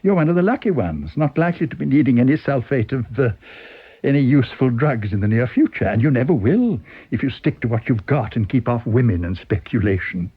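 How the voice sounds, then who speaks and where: A man's voice: mid-range voice, animated, a little fast, breathy edge, phone-quality sound. A man voicing a mysterious, chatty male stranger who is breezy, probing and insinuating in a dramatised story reading.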